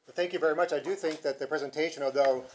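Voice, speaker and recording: A middle-aged man moderating a conference session at a podium, speaking in a measured, hesitant way with restarts. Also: fairly high pitch, fairly even intonation, slight background noise. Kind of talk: formal commentary and introduction. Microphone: podium microphone.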